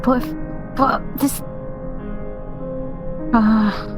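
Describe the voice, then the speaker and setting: A woman's voice: fairly high pitch, steady tone, extremely slow, music in the background. A woman playing a tabletop game with casual, improvised role-play.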